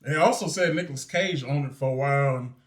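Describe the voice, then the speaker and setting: A man's voice: mid-range voice, quick pace. A man, a co-host chatting loosely with lots of fillers. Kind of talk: casual chat.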